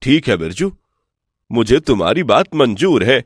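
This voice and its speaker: A greedy, easily fooled village priest as a man acts him in an animated folk tale. The voice leaps about in pitch and is dry and close. He sounds excitable and credulous. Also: measured pace, husky, no echo. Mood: eager, confiding.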